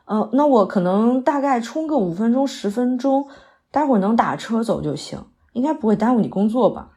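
一位女演员在广播剧里饰演刚下戏、略显疲惫的戏曲女演员，语气客气口语化，偶尔迟疑。. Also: female voice, on the high side, expressive, a little fast, dark tone. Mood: flustered, polite. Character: polite, weary female opera performer after a late show. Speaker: stage actress in an audio drama. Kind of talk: naturalistic modern audio drama dialogue.

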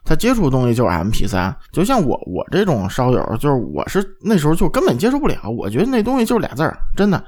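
语速快、起伏明显的男声. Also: male voice, fairly high pitch, wildly swooping pitch, fast talker, few pauses, a little mellow. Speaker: audio podcast co-host. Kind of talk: casual opinionated chat.

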